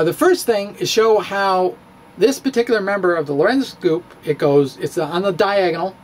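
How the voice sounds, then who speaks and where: A middle-aged man giving a dramatic, comedic reading of a physics blog, halting and theatrical with emphatic pauses. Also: high voice, a little hiss or hum. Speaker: blogger, stand-up physicist. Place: indoor room with bookshelves. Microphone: handheld-style microphone on stand, close.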